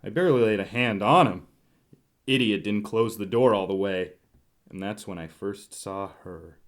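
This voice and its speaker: A hard-boiled male private eye, played by a man, tells his noir story in a dry, clipped way. He is curt and wry, quick with a wisecrack and weary of the people around him. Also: on the low side, slight background noise, close to an everyday voice. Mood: wry, brooding. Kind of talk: noir radio drama acting and narration.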